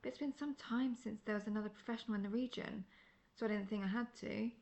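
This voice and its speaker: Casting: actress as veteran female monster hunter for fantasy roleplay audio; wry, assertive, measured, with a creaky edge. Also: mid-range voice, fairly even intonation, darkish tone, natural voice. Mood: condescending, measured. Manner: measured, formal, dryly condescending.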